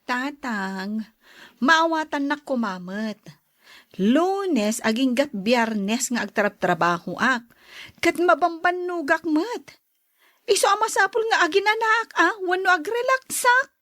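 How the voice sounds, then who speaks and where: A woman's voice: sits high, expressive, slow, sharp tone, full character voice. A woman with an Ilocano Filipino accent playing a pampered, coy married madam in an audio drama. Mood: defensive. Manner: coy, breezy. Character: pampered, flirtatious married madam, breezy and self-indulgent.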